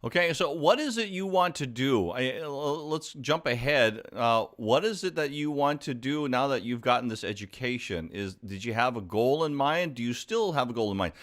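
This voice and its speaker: A man's voice: medium pitch, animated, normal speed, few pauses, fairly bright tone. A man hosting a live talk show, upbeat and exclamatory, drawing out his words as he introduces and questions a guest.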